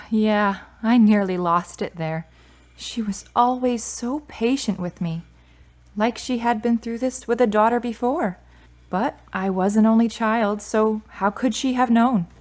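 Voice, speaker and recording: A woman's voice: fairly high pitch, measured pace, a little mellow, close to an everyday voice. Guarded grieving daughter, played by a woman. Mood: reflective. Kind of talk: radio drama acting.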